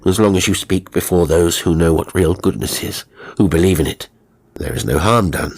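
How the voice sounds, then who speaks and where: A man playing a stern, earnest English gentleman who speaks bluntly and emphatically in a British accent. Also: deep voice, moderate pace, gravelly, husky, no echo, full character voice.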